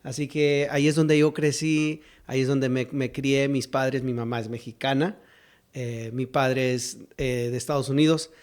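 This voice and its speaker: A man's voice: mid-range voice, fairly even intonation, normal speed, darkish tone. A man in his fifties from South Texas, a pastor and guest, telling stories from his life in a hesitant, restarting way with easy laughter. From South Texas, United States. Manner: hesitant, with frequent fillers and restarts, laughs easily. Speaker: pastor and singer-songwriter, guest. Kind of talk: conversational storytelling.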